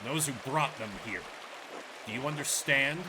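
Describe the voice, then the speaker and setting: A man's voice: mid-range voice, normal speed, noisy background, close to an everyday voice. A man voicing a stern, threatening gamekeeper in a video game, curt and commanding.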